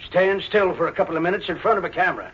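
Impatient small-town photographer, crotchety and folksy, husky upper-register voice, 1940s radio drama. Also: male voice, sits high, rapid-fire. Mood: exasperated, grumbling. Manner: grumbling, folksy. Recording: scratchy, muffled 1940s radio recording.

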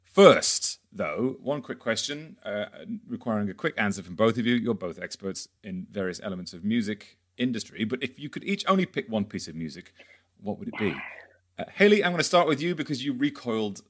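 Low-voiced, wry male podcast host. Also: very animated, fairly quick. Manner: wry, playful. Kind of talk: scripted podcast introduction, then chatty interviewing.

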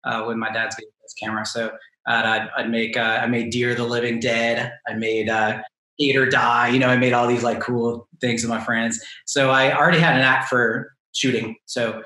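Guest: man in his 30s, laid-back, rambling, mid pitch, roomy webcam sound. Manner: relaxed, rambling with fillers. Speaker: guest filmmaker. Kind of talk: casual interview answers. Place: small home room with shelves.

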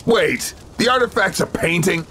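A man voicing a cocky, wisecracking American action-hero soldier in a video game, with deadpan swagger. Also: high-pitched, normal speed, slight background noise. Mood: disappointed, joking. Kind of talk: video game cutscene acting.

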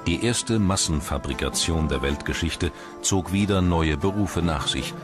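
A man reading scripted documentary narration in a measured, formal manner. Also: low-pitched. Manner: measured, formal read delivery. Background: background music.

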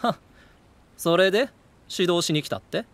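A man acting a cocky, hot-tempered teenage brawler, with rough, sneering, slangy speech in a voice drama. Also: sits high, measured pace, dry recording.

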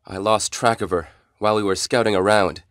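A man's voice: lowish voice, steady tone, a little fast, fairly bright tone, dry recording, studio quality, natural voice. An actor playing a cool, authoritative male squad commander in a stilted nineties video game. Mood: grave, commanding.

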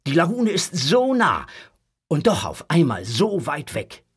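Expressive male storyteller, lively pitch. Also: measured pace, slightly rough. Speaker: narrator. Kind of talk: dramatic scripted narration for a children's audio story.

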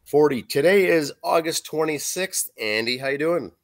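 A hoarse man in his forties co-hosting a podcast over a video call, reading album facts with fillers. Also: a little high, lively intonation, moderate pace, noisy background. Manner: reads facts and track lists with fillers. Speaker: podcast co-host reviewing an album. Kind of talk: casual podcast chat, reading notes. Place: small room at home. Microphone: video call webcam.

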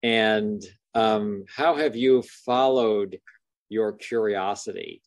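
A middle-aged man with a measured, formal manner, hosting an interview over a video call. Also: on the low side, no room sound. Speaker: interviewer, university dean hosting. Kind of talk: formal scripted introduction, then interview questions.

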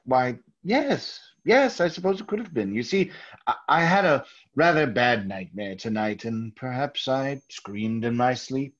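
A man playing a nervous, overpolite murderer hiding his guilt, halting and husky, in old-time radio theater style. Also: medium pitch, animated. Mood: feigned calm. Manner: halting, over-courteous, stammering. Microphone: video call. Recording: dull, lo-fi video call audio.

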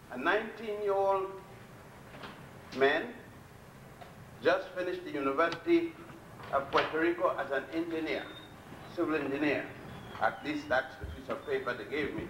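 An older man giving a public lecture from a podium, blunt and defiant, telling his story with long pauses. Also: fairly high pitch, distant mic, noisy background. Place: lecture hall at a podium before a chalkboard. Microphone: podium mic on a stand, filmed from a distance. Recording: echoey hall, recorded from afar.

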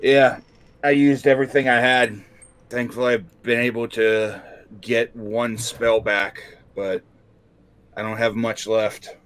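A man playing a tabletop role-playing game, speaking improvised in-character dialogue in an earnest, measured way. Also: mid-range voice, little pitch movement, fairly bright tone, smooth.

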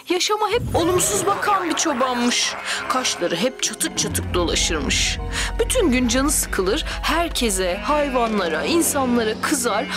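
A woman voicing a kindly, patient storyteller friend of small children in a cartoon, reading expressively. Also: high voice.

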